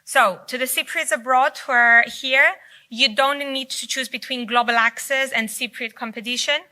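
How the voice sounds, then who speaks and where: A woman's voice: high voice, fairly even intonation, normal speed, pushed and bright. A woman whose first language is Greek, giving an unscripted conference keynote with frequent fillers and restarts. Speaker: keynote speaker. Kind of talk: conference presentation. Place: conference hall.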